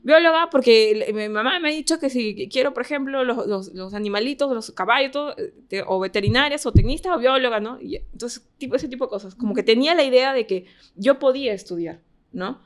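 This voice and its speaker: A woman's voice: on the high side, fairly quick. A Peruvian woman in a casual podcast discussion, telling personal anecdotes and voicing remembered bits of dialogue. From Peru. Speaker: podcast guest.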